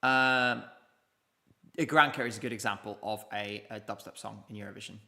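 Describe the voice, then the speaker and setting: Male stream host, bright forceful voice, loose chatty delivery answering viewer questions. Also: fairly low pitch, moderate pace, gravelly. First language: English. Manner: rambling, chatty, many ums. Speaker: livestream host. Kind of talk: casual live chat reading viewer comments. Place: indoors, streaming from Basel.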